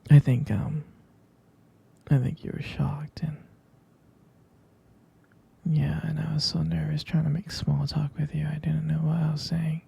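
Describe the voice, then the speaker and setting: A man playing an affectionate, doting boyfriend in an intimate ASMR roleplay, speaking in a soft, gravelly whisper with tender hesitations. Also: little pitch movement, heavily acted. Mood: fond, shy. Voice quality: soft, breathy whisper with low gravelly fry. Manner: slow, tender, hesitant with pet names. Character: affectionate, doting male vampire boyfriend soothing partner to sleep.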